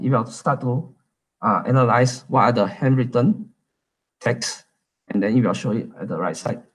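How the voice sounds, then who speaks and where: Man from Malaysia, husky, mid-pitched, tech meetup talk, halting with fillers. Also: low-quality recording. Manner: casual, halting, many fillers and restarts. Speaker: conference speaker.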